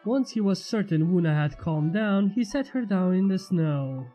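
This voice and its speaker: A woman's voice: fairly low pitch, animated, normal speed, dark tone, soft background music. A woman narrating fan fiction as a channel host, reading scripted prose expressively.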